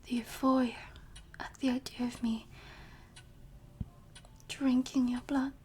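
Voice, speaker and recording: Woman as female vampire professor, audio roleplay, predatory, possessive, mellow breathy voice, unhurried, whispery, softly commanding. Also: sits high, level tone, slight background noise. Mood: seductive whispering. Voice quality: breathy, often dropping to a whisper. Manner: slow, sultry, teasing, softly commanding. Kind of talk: intimate ASMR audio roleplay.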